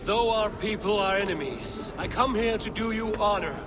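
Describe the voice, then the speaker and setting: An actor playing a cunning, boastful warrior lord in a video game, flattering and formal in his speech. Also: high-pitched, normal speed, lightly acted. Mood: boastful, rousing. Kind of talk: theatrical video game acting.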